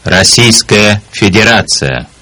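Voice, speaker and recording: A man speaking single words slowly and deliberately as a model for learners. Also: lowish voice, lively intonation, pushed and bright, no echo. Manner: deliberate, articulated word by word. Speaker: native speaker on a language course recording. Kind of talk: slow, careful model pronunciation.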